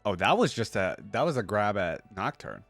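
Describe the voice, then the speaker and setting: A woman hosts a casual reaction video in a very low voice. She makes informal asides and repeats lines back, with music faintly playing behind her. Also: sing-song, moderate pace.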